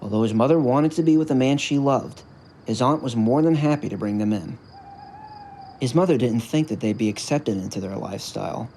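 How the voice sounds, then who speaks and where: A man's voice: mid-range voice, fairly quick, dark tone, slight background noise, poor audio. A man narrating a scripted story for an audio drama. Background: music.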